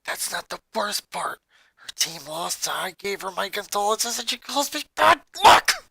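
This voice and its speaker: A woman's voice: mid-range voice, sing-song, measured pace, gravelly, pushed and bright, husky, no echo, voice pushed up, exaggerated voice. A woman voicing an angry, hurt young boy in an emotional, emphatic fan fiction reading. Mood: bitter, irritated. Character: angry, hurt young boy venting about his family.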